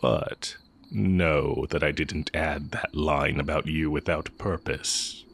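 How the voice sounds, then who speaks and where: A man playing a tender, sly heretic mage and former teacher in a romantic audio roleplay, speaking in measured, formal phrases. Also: very deep voice, fairly even intonation, gravelly, a bit bright, exaggerated voice. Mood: confiding, earnest. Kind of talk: romantic audio roleplay, intimate scripted acting.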